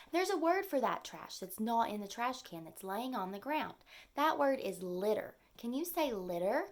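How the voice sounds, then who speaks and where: A woman with a fairly high voice and a touch of vocal fry leads storytime like a teacher. She takes her time, using simple words and clear phrases meant for small children. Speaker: teacher reading to young children. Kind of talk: storytime lesson with read-aloud and simple explanations.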